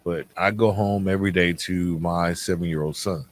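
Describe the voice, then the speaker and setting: A man hosting a podcast, speaking in a reflective monologue with a measured, earnest delivery and dramatic pauses. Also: low voice, steady tone. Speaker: podcast host.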